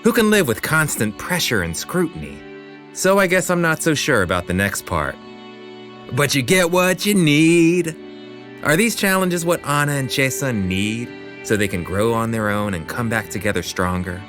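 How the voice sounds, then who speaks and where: Animated male storyteller voiced by an actor. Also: medium pitch, moderate pace, soft background music, lightly acted. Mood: reflective, suspenseful. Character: reflective, teasing male storyteller of a romance serial. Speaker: narrator. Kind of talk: dramatic scripted narration.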